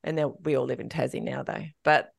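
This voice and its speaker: A woman's voice: extremely low pitch, steady tone, a little fast, creaky, slightly rough, no echo, clean studio sound. An Australian woman in middle age hosting a podcast, chatty and upbeat, laughing often in casual interview talk. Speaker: podcast host.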